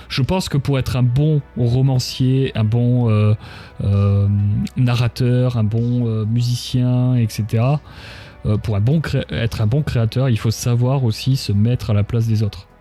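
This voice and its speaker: A man's voice: medium pitch, fairly even intonation, normal speed, dark tone, faint music behind. A man hosting a casual livestream interview, speaking in a hesitant, searching way and often repeating phrases. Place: live online stream.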